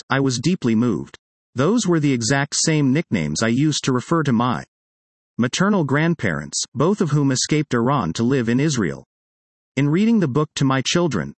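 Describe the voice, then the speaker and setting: A man narrating a magazine article aloud, with an expressive read-aloud delivery. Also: mid-range voice, dry recording.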